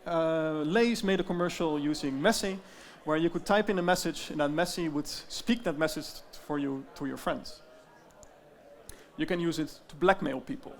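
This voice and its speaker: A man with a Dutch accent giving an explanatory presentation, often pausing with fillers. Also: fairly high pitch. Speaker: tech founder giving a talk. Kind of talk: presentation talk.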